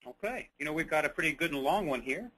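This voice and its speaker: Chatty male moderator with a bright voice. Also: fairly high pitch, animated, quick pace, husky, low-quality recording. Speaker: webinar moderator. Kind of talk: casual moderating, reading out audience questions.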